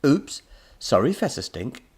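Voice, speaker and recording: A man's voice: medium pitch, very animated, a little slow, slightly rough, pitched above the performer's own voice. A man voicing a clumsy, affectionate, childlike robot in a children's story, simple and apologetic, garbling words.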